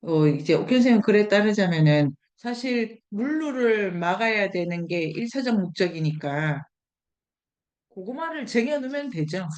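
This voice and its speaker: A woman's voice: on the high side, a little mellow. A woman leading a seminar discussion, thinking aloud as she explains and puts questions directly to participants. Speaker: discussion leader.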